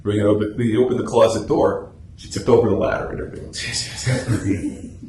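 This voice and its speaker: A man speaks informally to a club, explaining and telling anecdotes. His voice sits on the low side, in an echoey meeting room with faint noise behind him. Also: fairly even intonation, moderate pace, a little mellow. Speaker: guest speaker, radio drama society officer. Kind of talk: informal club presentation and discussion.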